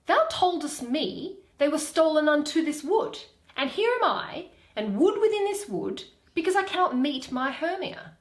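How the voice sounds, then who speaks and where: A woman plays a scornful young Athenian man in a stage classic, spurning whoever follows him. The delivery is declamatory and high, with big swoops of pitch, in a roomy, echoing space. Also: sing-song, normal speed. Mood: exasperated. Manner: emphatic, declamatory. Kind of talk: dramatic Shakespeare reading.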